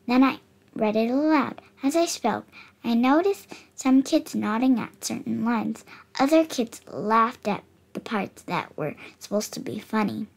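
Young girl, about eight, for picture-book read-aloud; high, slightly creaky voice, careful expressive delivery. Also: a little slow. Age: child, about 8. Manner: careful, expressive reading with small stumbles. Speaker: child reader of picture books.

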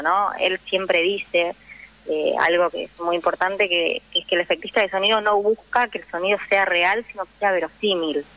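A woman with an Argentine Rioplatense accent, explaining things in an animated, conversational way as a guest in a radio interview. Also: lowish voice, poor audio. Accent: Argentine Rioplatense Spanish. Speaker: interview guest, actress and theatre teacher.

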